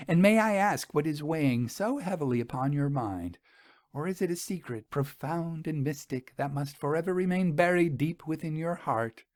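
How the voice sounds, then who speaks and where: A man's voice: on the high side, lively intonation, moderate pace, darkish tone, no echo, clean studio sound, voice pushed up, lightly acted. A man voicing a merry, teasing young woman in a story, chatty and playfully mock-grave.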